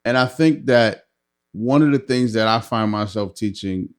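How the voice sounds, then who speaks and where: A man hosting a podcast interview who also teaches voice, talking in a relaxed, affirming way and thinking aloud. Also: fairly low pitch, moderate pace, smooth. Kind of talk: casual interview conversation.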